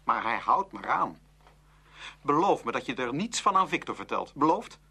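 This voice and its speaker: A man acting an awkward, easily embarrassed teenage boy in a radio play, husky and hesitant. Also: mid-range voice, very animated, normal speed, sharp tone, full character voice. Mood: embarrassed, upset.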